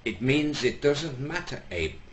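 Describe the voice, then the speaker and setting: A man's voice: medium pitch, big pitch swings, unhurried, pitched above the performer's own voice. A man voicing a wise older rabbi who gives advice through a little story. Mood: wry.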